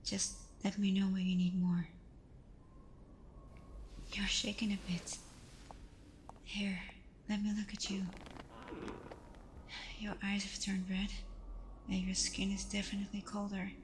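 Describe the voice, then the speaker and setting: Soft, even-toned vampire girlfriend played by a woman. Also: medium pitch, slight background noise, lightly acted. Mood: tender, reassuring. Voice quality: soft, breathy, often near whisper. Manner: soothing, reassuring, unhurried. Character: gentle, protective vampire girlfriend, caring and patient. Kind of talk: ASMR roleplay.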